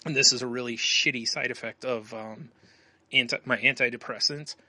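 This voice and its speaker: A man's voice: medium pitch, steady tone, moderate pace, sharp tone, dry recording. A man co-hosting a podcast in casual chat, rambling and laughing often as he talks. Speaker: podcast co-host.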